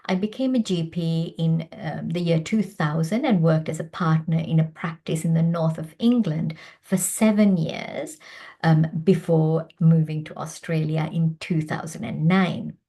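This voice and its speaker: A woman in her fifties from Sri Lanka speaks in a relaxed, warm tone that sits a little low. She is a doctor delivering a prepared personal talk. Her delivery is measured and earnest. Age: 50s. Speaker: doctor and presenter.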